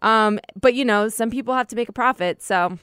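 A woman with a smooth, clear, fairly high voice co-hosting a news chat. She speaks in an earnest, thoughtful way. The sound is close and dry. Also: fairly quick, a bit bright, no room sound. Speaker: podcast co-host. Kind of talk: casual political chat.

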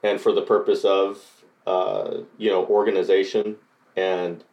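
Low-voiced middle-aged man, halting answers. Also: little pitch movement, lo-fi sound. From Costa Rica. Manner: hesitant, many fillers and restarts. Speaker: coding bootcamp graduate. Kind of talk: interview answers.

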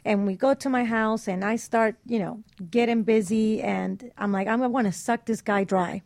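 A woman in candid, bawdy casual chat, telling personal anecdotes in an animated way. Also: fairly high pitch, moderate pace, creaky, no echo.

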